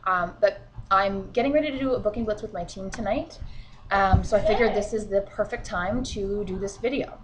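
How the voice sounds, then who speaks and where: A woman's voice: medium pitch, fairly quick, background noise. Chatty woman with a sniffly cold. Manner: chatty and encouraging, frequent fillers. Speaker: sales team leader. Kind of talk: casual instructional vlog. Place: at home, children beside her.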